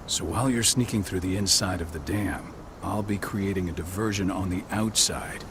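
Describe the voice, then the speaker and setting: An actor playing a steady, businesslike comrade in a video game, direct and matter-of-fact. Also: male voice, low voice, moderate pace, noisy background, natural voice. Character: steady, businesslike male comrade laying out a plan. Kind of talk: video game cutscene acting.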